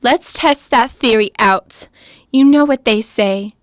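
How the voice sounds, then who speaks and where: A woman's voice: on the high side, slow pace, noisy background. A woman playing a sassy, defiant villain's sidekick turned rebel in a dramatic radio play. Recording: music behind the voice.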